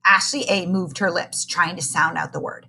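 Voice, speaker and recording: A woman reading a children's story aloud in an expressive, dramatic way, stretching key words. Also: on the low side, normal speed, creaky. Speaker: read-aloud narrator.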